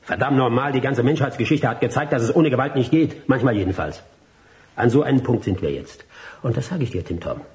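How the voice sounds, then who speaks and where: An actor playing a cocky, combative radical activist in a radio drama, speaking with slangy scorn and self-assurance. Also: male voice, medium pitch, lively intonation, a little fast, a little mellow, close to an everyday voice. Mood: cocky, defiant.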